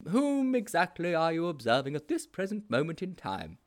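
A man's voice: a little high, huge pitch swings, normal speed, pitched above the performer's own voice. A man voicing a jovial, beaming old headmaster with a hearty, genial manner. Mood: delighted.

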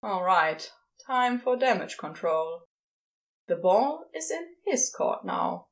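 A woman reading fiction aloud as expressive scripted narration, with dramatic pauses. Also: mid-range voice, gravelly. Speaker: podfic reader.

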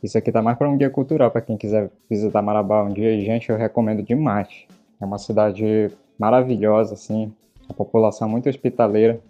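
A man's voice: fairly low pitch, fairly even intonation, quick pace, warm tone, lo-fi sound, faint music behind. A man from Belém with a Belém accent, hosting a casual podcast chat and telling stories with lots of fillers. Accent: Belém Paraense Portuguese. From Belém, Brazil. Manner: chatty storyteller, many fillers. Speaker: podcast host.

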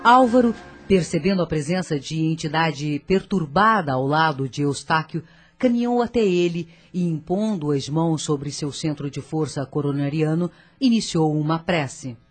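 Husky woman narrating a radio drama. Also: sits low, moderate pace, soft background music. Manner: storytelling, dramatic, moralizing.

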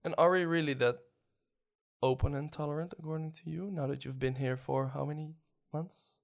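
Gravelly Dutch-accented man interviewing. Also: fairly high pitch, fairly even intonation, moderate pace. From Netherlands. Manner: hesitant, many restarts and ums. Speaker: podcast host. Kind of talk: interview conversation.

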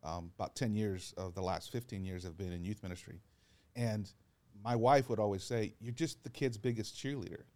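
A man, a pastor, talking earnestly in a relaxed podcast chat, telling stories and acting out what people said. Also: on the low side, normal speed. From Georgia, US. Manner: earnest, encouraging storyteller who acts out quotes. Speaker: pastor and guest. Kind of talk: casual podcast conversation.

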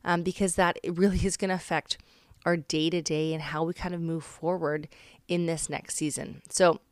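A woman in her thirties hosting a faith-based podcast, hoarse and raspy from a cold, talking in an earnest, reflective way with loose pauses and fillers. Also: on the low side, little pitch movement, dry recording, clean studio sound. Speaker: podcast host.

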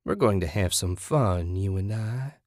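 Man voicing a sinister, raspy, sanctimonious woman captor. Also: medium pitch, huge pitch swings, no echo, studio quality, pitched above the performer's own voice, heavily acted. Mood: leering. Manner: taunting, sinister, drawn-out threats. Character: menacing, sanctimonious, depraved woman captor with knife. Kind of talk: dramatic audiobook character voice.

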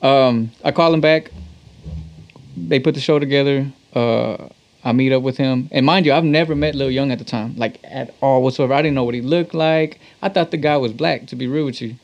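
A man's voice: medium pitch, moderate pace, dull audio. Slangy, profane man telling stories. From Texas. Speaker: musician guest, talk box player. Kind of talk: casual storytelling in an interview.

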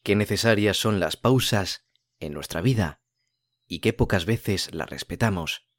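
A man with a low voice leads a guided meditation in a soothing, unhurried manner. He leaves long pauses between phrases. The sound is close and dry, with no room around it. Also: no echo. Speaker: podcast host and meditation guide.

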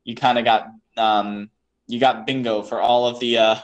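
A man's voice: medium pitch, level tone, normal speed, dull audio. A man hosting a screenwriting podcast, chatting casually with a guest in a rambling way, full of fillers and restarts. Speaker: podcast host. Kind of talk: casual interview chat.

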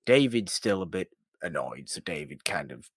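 Low-voiced man chatting about film. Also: sing-song, moderate pace, husky, dry recording, studio quality. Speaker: podcast co-host. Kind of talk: casual film discussion.

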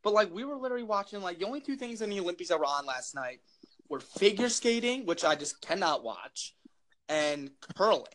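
A young man with a loud, projecting voice, rambling jokily through a casual chat as co-host. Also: sits high, sing-song, a little fast, low-quality recording. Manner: rambling, filler-heavy, jokey.

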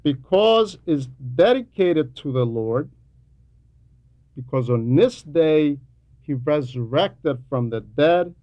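A man delivering a teaching sermon in a deliberate, emphatic way, repeating key phrases for weight. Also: a little high, no echo, lo-fi sound. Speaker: homilist reading a translated homily.

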